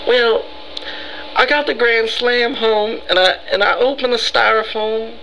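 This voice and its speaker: A man putting on a frightened, rambling, easygoing fellow who pleads haltingly and melodramatically for help in an improvised prank call. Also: very high-pitched, sharp tone, a little hiss or hum, full character voice, low-quality recording. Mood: shaken, rambling. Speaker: prank caller.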